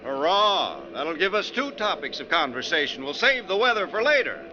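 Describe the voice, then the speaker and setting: An actor playing a sarcastic, edgy, talkative bus passenger in a needling, theatrical 1940s radio style. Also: male voice, high-pitched, very animated, normal speed. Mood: irritated sarcasm. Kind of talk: 1940s radio drama acting. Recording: dull, noisy 1940s radio recording.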